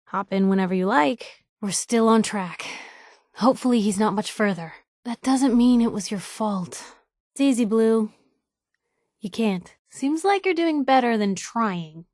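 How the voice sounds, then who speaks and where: A woman playing a wry, spirited young reporter who travels as a companion in a video game, with a sardonic, chatty and expressive delivery. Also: fairly high pitch, a little slow, dry recording, studio quality. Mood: concerned, teasing. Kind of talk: acted game dialogue lines.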